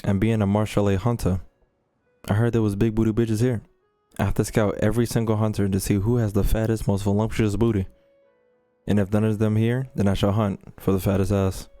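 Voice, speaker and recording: A man's voice: fairly low pitch, flat delivery, a little fast, warm tone, soft background music. Crude, joking male gaming streamer. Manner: crude, slangy, joking, profane. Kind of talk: casual reaction commentary.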